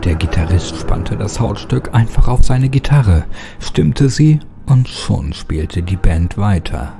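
A man's voice: lowish voice, expressive, slow, gravelly, mellow. A man giving a scripted, dramatic first-person narration of a horror story. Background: music.

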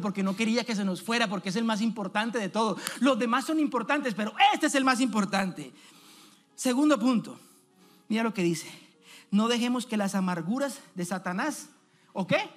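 A man in his thirties preaching from a church stage through a headset mic. He talks in an animated way, calling out questions and waiting for the congregation to answer. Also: sits high. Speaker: evangelist preacher. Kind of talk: energetic sermon.

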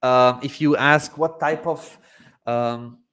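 A man's voice: mid-range voice, slow pace. A man in his thirties from Croatia, native Hungarian, casually answering viewer questions on a livestream with lots of ums, speaking into a desk microphone. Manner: relaxed, hesitant with frequent ums and "I believe". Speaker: livestream Q&A host. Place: home studio, indoors, seated in gaming chair. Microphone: desk microphone in front of face.

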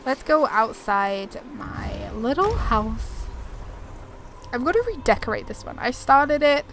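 A woman gamer with a fairly high voice and a lot of vocal fry. She commentates casually, chatty and informal, over faint game ambience. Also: lively intonation, takes it slowly, slight background noise. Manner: chatty, informal, self-deprecating. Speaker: gaming YouTuber. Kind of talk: casual gameplay commentary, reading quest text aloud. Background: video game ambience.

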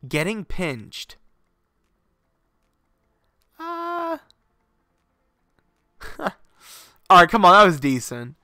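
A man's voice: sits high, huge pitch swings, very slow, long pauses, bright, forceful tone, no room sound, clean studio sound. A young man streaming games from home, chatting casually with a stuffy, sinusy voice, rambling and swearing freely. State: congested, sinusy. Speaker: game streamer. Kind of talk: casual live-stream chat. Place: home garage setup.

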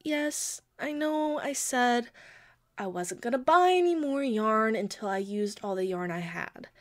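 A woman's voice: a little high, animated. A woman playing a quirky, sheepish young girlfriend who pleads and defends herself hesitantly in an intimate audio roleplay. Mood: nervous, pleading. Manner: hesitant, pleading, halting with pauses. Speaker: amateur audio roleplay voice actor.

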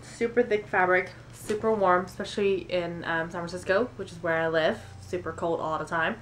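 A young American woman talks casually to a camera in a room at home, showing her shopping. Her voice sits a little low and sounds mildly congested from a cold. There is noticeable background noise in the homemade recording. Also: moderate pace, low-quality recording. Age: 20s. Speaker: beauty and shopping vlogger.

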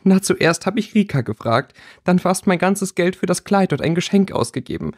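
A man's voice: high-pitched, animated, normal speed, voice pushed up. Guy doing a tearful indignant schoolgirl, storybook reading, raised plaintive voice.

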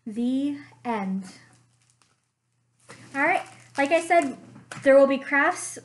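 A young woman, a librarian, reading a picture book aloud slowly and expressively at home into a webcam. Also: high-pitched. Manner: slow, expressive storytime reading. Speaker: children's librarian. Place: living room at home.

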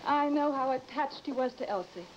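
A woman's voice: high voice, animated, moderate pace. A woman playing a polite, timid young wife in an old-time radio drama. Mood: anxious, puzzled. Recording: muffled, hissy vintage recording.

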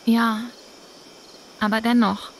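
An actress voicing an earnest, self-doubting young woman in a video game dub, soft-spoken and pensive. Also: a little high, flat delivery, slow, slight background noise, poor audio. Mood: guilty, apologetic. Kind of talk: dubbed video game acting.

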